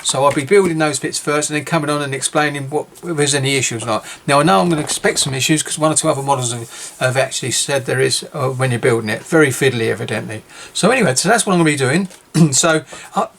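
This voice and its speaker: A man's voice: medium pitch, fast talker, vocal fry, hardly any pauses, a bit bright, a little hiss or hum. A man with a British accent giving a casual, rambling walkthrough of a model kit, often correcting himself, his voice a little worn. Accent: British English. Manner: rambling, self-correcting, chatty. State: losing his voice. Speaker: scale model builder. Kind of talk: casual kit review video.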